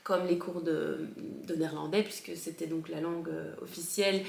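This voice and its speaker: A woman fondly recalling her school years in a relaxed chat, often pausing and restarting her sentences. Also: low voice, gravelly. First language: French. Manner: hesitant, many fillers and restarts. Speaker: former Steiner school pupil. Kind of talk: casual recollection. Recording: echoey room.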